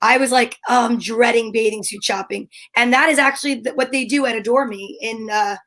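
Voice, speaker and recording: American woman, higher-pitched voice, co-host, chatty, supportive, informal conversation. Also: steady tone, fairly quick, fairly bright tone. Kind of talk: casual chat.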